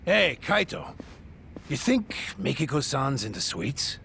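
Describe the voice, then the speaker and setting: A soft-hearted sidekick in a crime game. His voice is fairly high, bright and creaky, with earnest, animated delivery. Ambient noise is clear behind him. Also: measured pace, exaggerated voice. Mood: eager.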